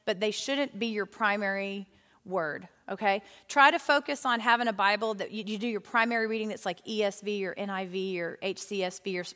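Chatty woman teaching Bible study. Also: medium pitch. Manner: animated, chatty, with frequent fillers. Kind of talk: lively teaching talk to a women's Bible study group. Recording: hall with long reverb.

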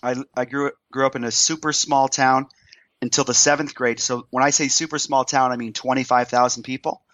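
A hoarse man from Iowa, a podcast guest, telling stories in a lively, joking way. His voice sits at a middle pitch. The sound is dry and a little dull. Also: brisk, dry recording. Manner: animated, joking storyteller. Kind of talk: casual chat.

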